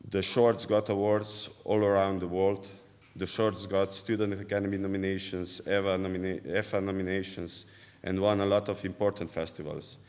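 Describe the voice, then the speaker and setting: A man from Slovenia, a film director speaking on a panel in a measured, earnest way. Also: low-pitched, flat delivery, darkish tone. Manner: measured, earnest, with small restarts. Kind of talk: panel talk. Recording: hall reverb.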